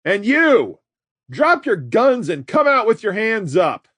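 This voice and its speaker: A man voicing a cruel male villain who speaks in gruff, mocking taunts. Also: high voice, very animated, slow, dry recording, studio quality, voice pushed up, exaggerated voice. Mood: menacing.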